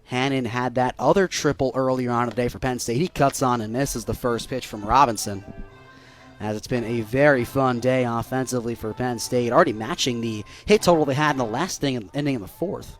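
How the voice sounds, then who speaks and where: A young American man doing live radio baseball play-by-play, lifting into excited calls on big plays. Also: medium pitch, a little fast, faint music behind. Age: young adult.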